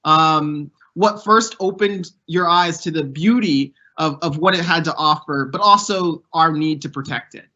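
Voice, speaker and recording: Bright-voiced young man on a muffled call. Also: on the high side, measured pace, hardly any pauses. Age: young adult. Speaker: youth program fellow hosting a panel. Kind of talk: prepared speech and interview.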